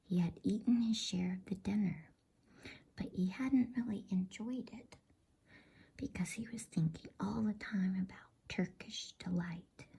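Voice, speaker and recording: A woman whispering softly in a gentle, unhurried, hushed ASMR style as she hosts and reads a bedtime story. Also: mid-range voice. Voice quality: breathy, soft whisper. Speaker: host and reader of a bedtime story channel.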